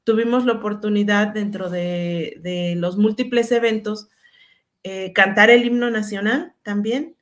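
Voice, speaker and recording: A Mexican woman, a school supervisor, reflecting earnestly in a panel conversation, often pausing and restarting. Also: mid-range voice, fairly even intonation. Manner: earnest, many fillers and restarts.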